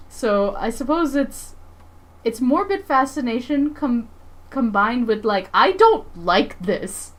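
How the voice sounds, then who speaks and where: A woman livestream host chatting casually, thinking aloud in a rambling, emphatic way. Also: medium pitch. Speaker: livestream host, comic artist.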